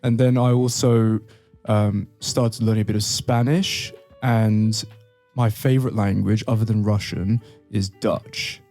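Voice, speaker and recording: A man's voice: on the low side, steady tone, unhurried, dark tone, soft background music. A man, born in Brazil, chatting casually as a podcast host. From born in Brazil, moved to the UK. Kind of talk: casual chat.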